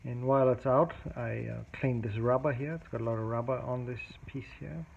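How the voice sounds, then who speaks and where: A man's voice: mid-range voice, steady tone, brisk, gravelly, lo-fi sound. A man giving a casual, unscripted demonstration as a hobbyist repairer, recorded on an amateur home video. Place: indoors at a cluttered home table. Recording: noticeable background noise, amateur home video.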